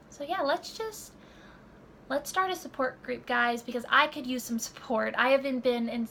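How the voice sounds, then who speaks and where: A woman's voice: fairly high pitch, sharp tone. Young woman, rambling vlog chat, lots of fillers, camera mic, faint hiss. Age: 20s. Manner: rambling, many fillers and restarts. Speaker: vlogger. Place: home room.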